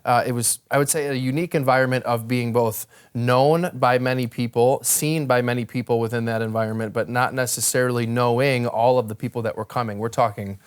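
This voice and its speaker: Smooth, clear young American man. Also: medium pitch, steady tone, brisk, hardly any pauses. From northern Wisconsin, United States. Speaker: interview guest, ministry worker. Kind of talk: conversational personal storytelling.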